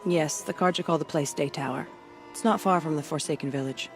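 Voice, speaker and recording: Game war leader: female, steadfast, stern, weary, low for a woman, music behind. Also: brisk. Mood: skeptical, firm. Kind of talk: video game cutscene acting.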